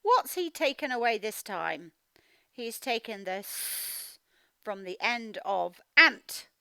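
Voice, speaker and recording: A woman teacher giving a children's read-aloud phonics lesson, speaking in an animated, emphatic, instructional way and sounding out words. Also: a little high, slow, creaky, clean tone, no echo. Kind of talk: children's read-aloud lesson, explaining directly to young learners.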